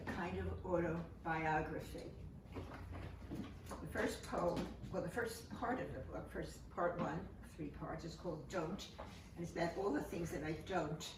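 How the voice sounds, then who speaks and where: A woman in her seventies reading her poetry informally, with conversational, matter-of-fact delivery and halting asides. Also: low-pitched. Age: 70s. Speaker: poet and author. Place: room, remote home-style recording. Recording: echoey, noisy room, distant microphone.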